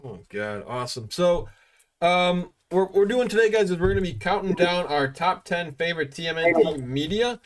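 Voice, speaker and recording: A man's voice: sits high, animated, normal speed. A man hosting a casual podcast chat, talking enthusiastically with fillers and restarts. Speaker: podcast host.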